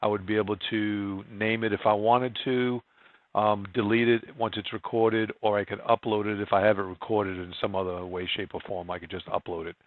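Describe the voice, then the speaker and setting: Low-voiced man, even-toned software walkthrough. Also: normal speed, dry recording, narrow, tinny audio. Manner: informal, plain-spoken step-by-step explaining. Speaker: software trainer. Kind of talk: screen-share software tutorial narration.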